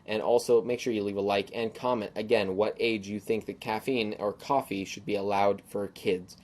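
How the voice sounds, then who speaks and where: Slightly hoarse man rambling on a podcast. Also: fairly low pitch, fairly even intonation, moderate pace, a little mellow. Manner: rambling, many fillers and restarts. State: slightly hoarse, sore throat. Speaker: podcast host. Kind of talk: casual solo podcast monologue.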